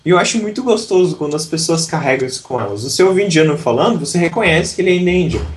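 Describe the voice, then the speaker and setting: A man's voice: a little high, animated, very fast. A man from southern Brazil, an English teacher, talking reflectively in a casual interview with frequent restarts.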